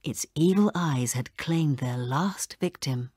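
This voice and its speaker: A woman narrating a children's read-along story in an expressive way. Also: low-pitched, slow pace.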